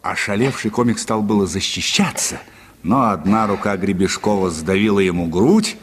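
A man's voice: lowish voice, normal speed, slight background noise. A man narrating a literary short story for a radio play in a dramatic storytelling style.

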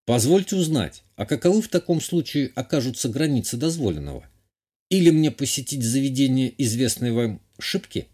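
A man's voice: medium pitch, sing-song, a little fast, warm tone, breathy edge. A man playing a sardonic young count, a reluctant hero in an audiobook, with dry, grumbling delivery. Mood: teasing.

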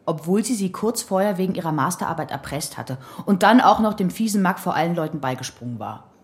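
A woman's voice: on the low side, expressive, brisk. An actress playing a probing, sardonic female investigator in a radio drama, pointed and insistent. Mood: probing, sceptical.

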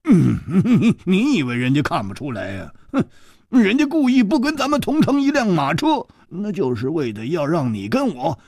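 A man voicing a brash, hot-tempered young male swordsman who stammers and whines excitedly. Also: a little high, normal speed, dry recording, clean studio sound, voice pushed up. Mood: agitated.

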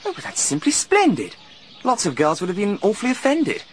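A man's voice: sits high, huge pitch swings, brisk, a little hiss or hum. An actor playing an eager, gushing young man in a radio drama. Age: young adult. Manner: gushing, breathless politeness.

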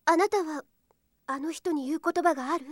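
An actress playing a gentle young noblewoman, earnest and devoted, speaking softly and politely in an anime audio drama. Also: sits high, moderate pace, dry recording, clean studio sound, close to an everyday voice. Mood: wistful. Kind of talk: Japanese anime-style audio drama acting.

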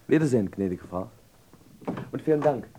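A man's voice: mid-range voice, lively intonation, moderate pace, dark tone, slightly rough. An actor in a Dutch radio drama playing a shy, eager young man, polite and hesitant. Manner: hesitant, polite, short answers.

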